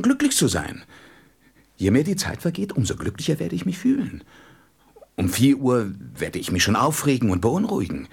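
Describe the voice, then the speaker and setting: An actor playing a gentle, wise and wistful fox in a radio play, speaking tenderly and deliberately and often dropping into whispers. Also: male voice, medium pitch, exaggerated voice. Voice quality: husky, breathy voice that drops into whispers. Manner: deliberate, expressive, tender storybook delivery.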